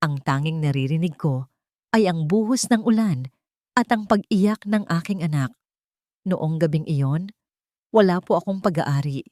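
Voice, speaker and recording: A woman's voice: lowish voice, a little mellow, no room sound, studio quality. A woman storyteller voicing a humble, sincere young mother who speaks in a respectful, heartfelt manner.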